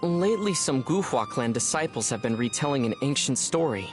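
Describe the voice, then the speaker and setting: A man playing a young adventurer hero in a video game, with a youthful voice and a measured, thoughtful, gently wry manner. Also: medium pitch, lively intonation, lightly acted. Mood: storytelling. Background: music.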